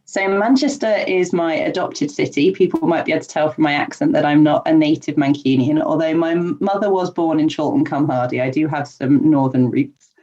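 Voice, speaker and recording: A woman's voice: sits low, brisk, warm tone. A woman being interviewed on a podcast as a guest, answering in an earnest, chatty way with ums and light laughs. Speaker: podcast guest, life coach. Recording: dull lo-fi remote audio.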